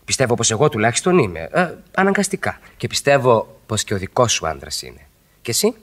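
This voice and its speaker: Bashful husband, animated intonation. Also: male voice, medium pitch. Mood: self-mocking, earnest. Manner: hesitant, wry, chuckling confessions. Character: bashful, self-mocking faithful husband. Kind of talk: mid-century Greek radio theatre acting.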